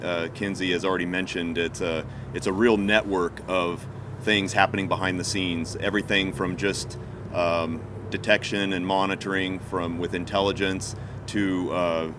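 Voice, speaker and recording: A Coast Guard captain answering reporters' questions outdoors, halting, with many fillers and restarts. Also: male voice, low voice. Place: outdoor press event. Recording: loud outdoor traffic noise.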